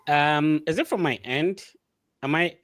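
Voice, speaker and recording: A man's voice: fairly high pitch, unhurried, fairly bright tone, no room sound, studio quality. A man hosting a casual live interview over an online video call. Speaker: host of an online interview show.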